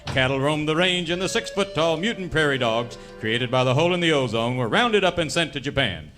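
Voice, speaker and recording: A man's voice: fairly high pitch, expressive, a little fast, bright, forceful tone. A man playing a grandiose announcer, narrating an old-time cowboy radio serial parody with mock melodrama. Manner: grandiose, dramatic. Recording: music behind the narration.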